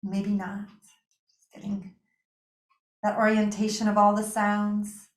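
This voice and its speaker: Gentle woman guiding meditation, echoey room. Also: mid-range voice, little pitch movement. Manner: gentle, soothing, unhurried with pauses. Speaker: yoga nidra meditation teacher. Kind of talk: guided meditation.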